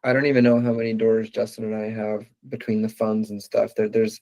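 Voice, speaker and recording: A man co-hosting a livestream, asking guests questions in a relaxed way, with some stutters. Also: fairly low pitch, a little fast, dry recording, poor audio. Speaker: co-host of lending livestream. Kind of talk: casual livestream chat.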